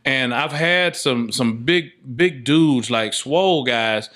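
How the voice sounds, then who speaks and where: A man's voice: mid-range voice, slow pace, fairly bright tone. A man in casual podcast conversation, telling stories emphatically and often repeating his phrases as an interview guest. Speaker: guest, indie filmmaker and casting director.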